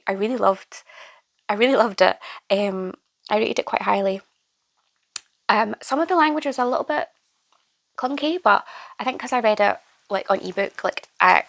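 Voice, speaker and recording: A young woman with a croaky voice from a cold, chatting casually about her reading with many fillers and restarts. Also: medium pitch, fairly bright tone. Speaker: book vlogger. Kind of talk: casual reading wrap-up chat.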